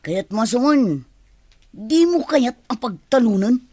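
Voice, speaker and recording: Blunt high-voiced Ilocano village gossip played by a woman. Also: expressive, slow, warm tone, dry recording, studio quality. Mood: insistent. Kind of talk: Ilocano radio comedy drama acting.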